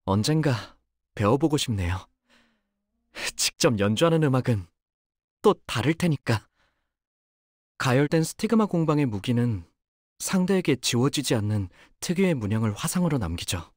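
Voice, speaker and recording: An actor playing a timid, polite young male fighter in a video game, hesitant and soft-spoken but capable of cold focus. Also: mid-range voice, no room sound, clean studio sound. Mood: gentle, polite, then earnest, subdued. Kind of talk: Korean video game character voice lines.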